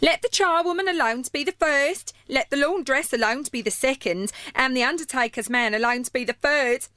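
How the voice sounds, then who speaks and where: A woman's voice: sits high, fairly quick, pushed and bright, no room sound. A woman playing a brazen, bargaining charwoman, bold and declamatory in a radio play.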